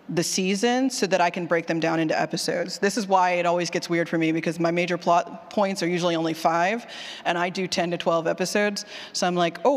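Chatty lady doing a workshop q&a, kinda self-deprecating, big echoey room. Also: on the low side. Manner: chatty, informal, self-deprecating, laughs readily. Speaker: conference speaker on audio drama writing. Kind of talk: casual workshop question-and-answer talk. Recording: echoey hall with long reverb.

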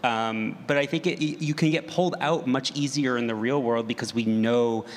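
A man's voice: medium pitch, normal speed. A man speaking as a panelist, telling things informally and without a script. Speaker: panelist, audio drama creator. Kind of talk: unscripted anecdotal panel talk. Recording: reverberant hall, background noise.

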